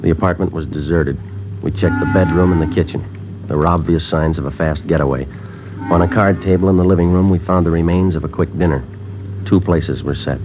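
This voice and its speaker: A man playing a police sergeant who narrates a 1950s radio drama in a flat, clipped, matter-of-fact way. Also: deep voice, a little fast, background noise, lightly acted. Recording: dull old radio recording with music.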